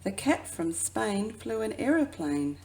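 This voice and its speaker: A woman who works as a children's educator reads a picture book aloud expressively, drawing out phrases and dropping into hushed asides. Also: medium pitch, big pitch swings, a little slow, poor audio. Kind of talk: children's storybook read-aloud.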